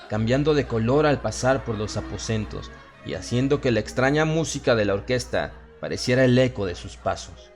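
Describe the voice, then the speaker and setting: A man with a Latin American Spanish accent narrating a horror story in a solemn, theatrical manner with dramatic pauses. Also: mid-range voice. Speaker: audiobook narrator. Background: music and sound effects.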